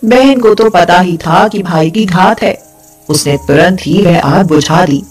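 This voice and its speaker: A woman's voice: fairly low pitch, unhurried, husky, soft background music. A woman narrating a scripted folk tale as a storyteller.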